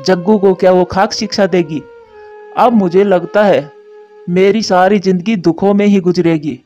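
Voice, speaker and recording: A man's voice: high voice, steady tone, normal speed, mellow, faint music behind, lightly acted. A man voicing a weary, ageing father bird in a cartoon, lamenting earnestly and plaintively, on a dull lo-fi recording. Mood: bitter lament. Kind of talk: Hindi cartoon voice acting.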